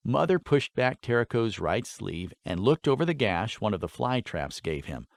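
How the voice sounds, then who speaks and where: A man narrating a fantasy story in an expressive, scripted audiobook style. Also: fairly low pitch, moderate pace, creaky, no echo, clean studio sound.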